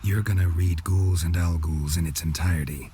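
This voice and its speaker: A man acting a stoic monster hunter with an extremely deep voice. He is a terse, commanding trainer with dry wit, in cinematic fantasy game style, with clear ambience behind him. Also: steady tone, moderate pace, mellow, a little hiss or hum, close to an everyday voice. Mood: dry, stern. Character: stoic male witcher, stern dry-humoured trainer.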